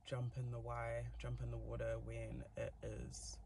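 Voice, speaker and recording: A man's voice: medium pitch, big pitch swings, a little slow, gravelly, a little mellow, a little hiss or hum. A man with a New Zealand accent, giving a chatty, giggly tarot reading with plenty of fillers. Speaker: tarot reader. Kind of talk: casual tarot reading to camera.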